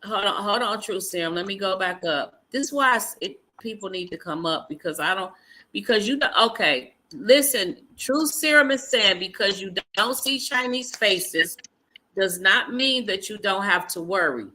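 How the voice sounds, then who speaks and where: A woman's voice: medium pitch, moderate pace, bright, forceful tone, poor audio. A woman hosting a livestream discussion, speaking bluntly and emphatically. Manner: blunt, emphatic, talks over points. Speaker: livestream host.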